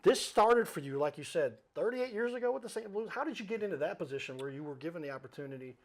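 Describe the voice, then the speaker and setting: A man's voice: sits high, lively intonation, quick pace. A middle-aged man hosting a casual interview show, chatty and given to storytelling with asides and quoted dialogue. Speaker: talk show host.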